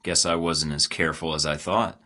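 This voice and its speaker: A wisecracking secret agent. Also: male voice, very deep voice, fairly even intonation, moderate pace, pushed and bright, full character voice. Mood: wry. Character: wisecracking young male secret agent, cocky. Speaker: video game protagonist. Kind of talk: game voice lines.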